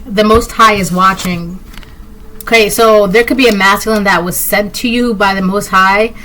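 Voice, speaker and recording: Woman, Spanish native speaker from Puerto Rico, casual rambling tarot reader; bright tone, light hiss on the track. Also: medium pitch, steady tone, normal speed, rough recording. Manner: rambling, many fillers and restarts. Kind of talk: casual intuitive reading to camera.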